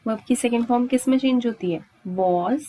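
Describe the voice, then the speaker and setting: Husky Indian woman teaching a lesson. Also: fairly high pitch, moderate pace, mellow. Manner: repetitive, asks and answers her own questions, frequent check-in tags. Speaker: English grammar teacher. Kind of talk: exam-prep lesson at a board, explaining step by step.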